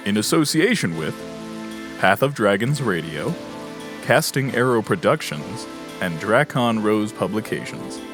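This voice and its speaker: A man reading scripted end credits as an announcer for an audio drama. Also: lowish voice. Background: music bed.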